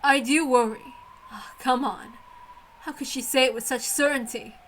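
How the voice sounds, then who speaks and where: A woman's voice: sits high, steady tone, moderate pace, fairly bright tone, a little hiss or hum. A woman voicing a proud, lonely witch in a story, imperious and petulant. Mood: frustrated, petulant. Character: proud, lonely, frustrated female golden witch.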